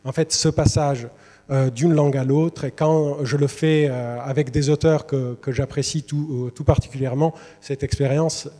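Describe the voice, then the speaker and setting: A man presents his work as a translator in a hesitant way, with constant fillers. His timbre is dark and soft. Long reverb from a large hall carries his voice. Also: mid-range voice, steady tone, normal speed. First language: Italian. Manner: hesitant, many fillers and restarts. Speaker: literary translator. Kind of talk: presentation to students. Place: university lecture hall.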